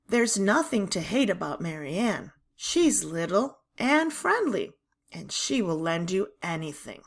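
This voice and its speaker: A woman reading a children's novel aloud in expressive, deliberate narration. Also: on the low side.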